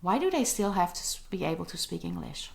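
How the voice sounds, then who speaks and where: Creaky-voiced woman hosting a podcast. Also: lowish voice, big pitch swings, fairly quick, darkish tone, a little hiss or hum. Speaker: podcast host. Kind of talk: interview questions.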